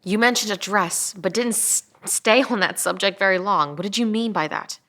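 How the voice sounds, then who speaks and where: A woman plays a sharp-tongued interrogator in an audio drama. Her bright, forceful voice has an ordinary female pitch, and she bites into her words with bitter sarcasm. She is indignant, confrontational and swears freely. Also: moderate pace. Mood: stern. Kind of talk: audio drama table read.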